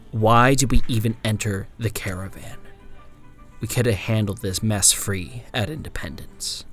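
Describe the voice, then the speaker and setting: A man playing a wary frontier traveller, narrating a scripted horror journal in a grave, measured, dramatic way. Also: medium pitch.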